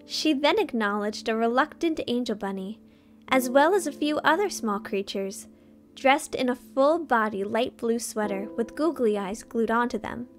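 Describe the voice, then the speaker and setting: A woman's voice: on the high side, normal speed, faint music behind. A woman reading a fan fiction story aloud as narrator, in a scripted storytelling style.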